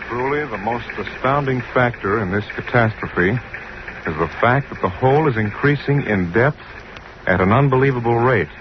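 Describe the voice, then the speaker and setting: A man's voice: mid-range voice, dull audio, natural voice. Actor as grave newsman on noisy vintage radio. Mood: grave urgency. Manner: formal, dramatic, measured announcing. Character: grave male radio news reporter at disaster scene. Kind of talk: 1940s radio newscast acting.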